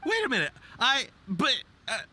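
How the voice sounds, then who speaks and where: An actor playing a brash, smug merchant in a game, talking in a mocking, blustering way. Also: male voice, very high-pitched, huge pitch swings, normal speed, bright, forceful tone, exaggerated voice. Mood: protesting.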